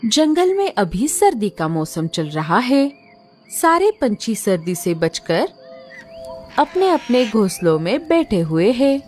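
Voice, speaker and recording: A woman narrates a children's story slowly, with a lively, rising-and-falling voice. Her voice sits slightly high. Soft music runs beneath her. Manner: slow, expressive storytelling.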